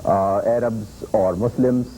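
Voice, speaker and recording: Deep-toned man speaking hesitantly. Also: steady tone, takes it slowly, dark tone, a little hiss or hum, rough recording. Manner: hesitant, many filler sounds. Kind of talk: spoken statement.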